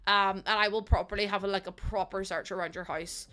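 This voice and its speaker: A woman's voice: medium pitch, fairly even intonation, a little fast, sharp tone. A young woman with a Northern Irish accent chatting casually while live-streaming, rambling and self-mocking with lots of fillers.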